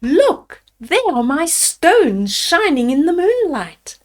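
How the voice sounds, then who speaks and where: A woman reading the part of a brave young boy from a fairy tale. He speaks slowly and earnestly in a fairly high voice, looking after his little sister with reassurance. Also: very animated, a little mellow, full character voice. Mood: excited.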